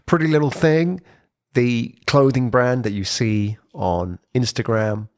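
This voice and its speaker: A man giving an informal explainer talk on podcasting, lively and conversational, with frequent "sort of" hedges. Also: medium pitch, measured pace, no room sound, clean studio sound. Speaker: presenter on brand podcasting.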